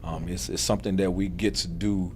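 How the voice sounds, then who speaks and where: Man, 50s, low voice, eager and rambling church talk. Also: steady tone, brisk, slight background noise. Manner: enthusiastic, rambling with many ums. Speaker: church minister. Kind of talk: casual chat.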